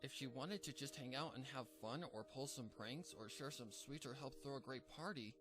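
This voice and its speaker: A man narrating a dark fan fiction story in a measured, somber reading. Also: medium pitch, music in the background. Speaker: fanfic narrator.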